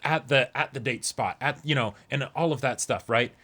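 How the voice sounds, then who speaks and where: A man's voice: mid-range voice, moderate pace, pushed and bright. A young man co-hosting a casual discussion show, earnestly feeling his way through each point. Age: young adult. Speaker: co-host of an anime reaction show. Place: small indoor room, seated before a backdrop.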